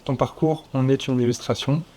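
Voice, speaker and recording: A man moderating a round table before an audience, speaking in a measured, slightly formal way with occasional fillers. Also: mid-range voice, steady tone, darkish tone. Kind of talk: formal event introduction. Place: conference room with audience.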